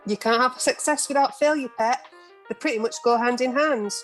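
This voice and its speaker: A woman's voice: a little high, normal speed, soft background music, close to an everyday voice. A woman playing a breezy, bawdy middle-aged journalist in an amateur radio play, recorded over a video call.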